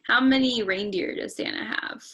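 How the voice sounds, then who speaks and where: Higher-voiced woman reading to young children. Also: moderate pace, muffled recording, a little hiss or hum. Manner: expressive, talking directly to young children. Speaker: storytime reader. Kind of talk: children's picture book read-aloud with chatty asides.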